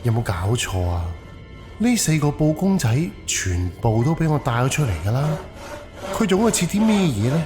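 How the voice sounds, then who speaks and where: A man's voice: on the high side, sing-song, moderate pace, music in the background. A man with a Cantonese accent playing a wary, hot-tempered haunted house owner in an audio drama, muttering bluntly to himself. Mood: alarmed, annoyed.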